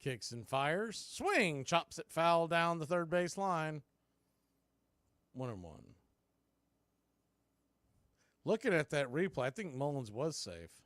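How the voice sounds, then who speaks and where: A man calling a baseball game live as a play-by-play announcer, mixing clipped pitch calls with folksy, casual asides. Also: sing-song, slow pace, long pauses, fairly bright tone, dry recording, clean studio sound. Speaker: baseball play-by-play announcer for a fan radio stream.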